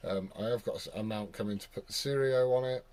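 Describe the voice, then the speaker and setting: A man's voice: on the low side, quick pace, a little mellow. British man chatting casually over radio noise. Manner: informal, sweary, rambling. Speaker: CB radio operator and vlogger. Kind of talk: casual chat and radio calls.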